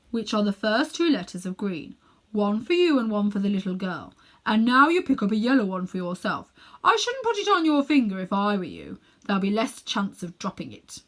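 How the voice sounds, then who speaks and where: A woman's voice: medium pitch, fairly bright tone. A woman voicing a pompous, cruel old uncle who dabbles in magic, condescending and smug, in a children's storybook reading. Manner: haughty, condescending, coolly smug.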